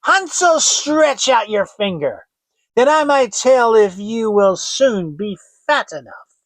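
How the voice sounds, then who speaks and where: A man's voice: high-pitched, sing-song, slow pace, dry recording, pitched above the performer's own voice, heavily acted. A man voicing a wicked old witch woman in a storybook, thin-voiced, spiteful and falsely sweet. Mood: demanding. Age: older.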